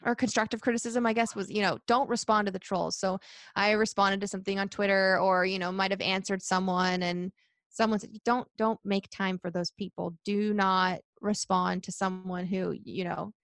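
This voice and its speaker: A young woman who works as a reporter, answering interview questions in a chatty, energetic way and laughing often. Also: moderate pace, no echo, clean studio sound. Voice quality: high-pitched voice. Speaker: sports reporter.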